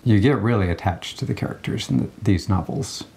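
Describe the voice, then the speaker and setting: A man co-hosting a casual book review, speaking in a measured, matter-of-fact way. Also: lowish voice, creaky, mellow. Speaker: co-host of a book review show.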